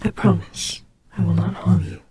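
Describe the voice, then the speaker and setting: A woman voicing a gentle, soothing goddess of death in an audio roleplay, speaking softly and breathily with hesitant, reassuring care for a child. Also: lowish voice, steady tone, moderate pace, close to an everyday voice. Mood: tender, protective. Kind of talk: slow dramatic audio roleplay. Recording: clearly noisy amateur home recording. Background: ambience sound effects.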